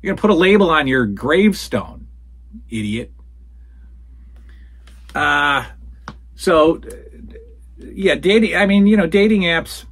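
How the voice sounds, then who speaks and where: A man's voice: fairly high pitch, lively intonation, slow pace, pauses often, fairly bright tone, husky. A man in his forties hosting a solo show, talking casually and rambling from a home den, calling his listeners folks. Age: 40s. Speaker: podcast host answering listener questions. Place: home den full of retro toys and posters.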